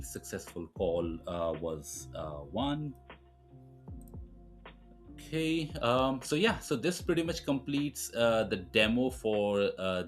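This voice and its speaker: Man, lowish pitch, tutorial presenter, informal step-by-step explaining, background music. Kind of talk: screen-recorded software tutorial, explanatory.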